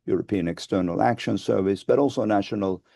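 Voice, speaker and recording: Finnish guy in his 60s, deep-ish gravelly voice, mellow tone, measured with lots of restarts. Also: dry recording, clean studio sound. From Finland. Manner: measured, hesitant restarts, gestures with both hands. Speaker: guest, advocacy group founder. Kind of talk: webinar interview. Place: studio with dark backdrop, seated. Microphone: lapel mic.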